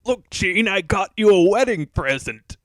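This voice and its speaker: A man acts out a lovesick cartoon villain with a high voice for a male. He is awkward and hot-tempered, a clumsy would-be kidnapper in a parody dub, recorded close and dry. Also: animated, measured pace, a bit bright, no room sound. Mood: defensive, eager. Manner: awkward, stammering when caught out.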